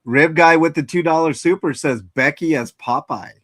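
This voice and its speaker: A livestream host chatting, reading comments aloud with a giggly, drawn-out manner. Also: medium pitch, fairly bright tone, dry recording, studio quality.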